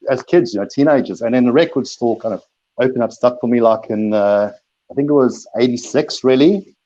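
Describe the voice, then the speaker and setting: A man's voice: medium pitch, a little fast, dark tone, dull audio. A middle-aged man from South Africa, a DJ and producer, answering interview questions in a rambling way, repeating words and laughing often.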